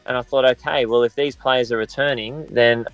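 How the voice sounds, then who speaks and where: An Australian man in his thirties, a professional footballer answering interview questions in a measured, reflective way. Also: mid-range voice, steady tone, a bit bright, clear, resonant tone, no room sound, soft background music, rough recording. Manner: measured and reflective, many restarts.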